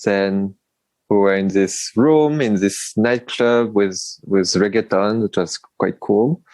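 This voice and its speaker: A man's voice: lowish voice, takes it slowly, a little mellow, no echo. A man hosting a podcast, talking in a rambling way with restarts and laughs over a video call. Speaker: podcast host. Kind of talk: casual interview chat. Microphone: remote video call.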